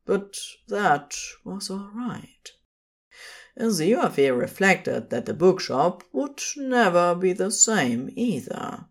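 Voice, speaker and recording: Casting: female narrator for fiction, slow delivery with lively, varied intonation. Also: low voice, slightly rough.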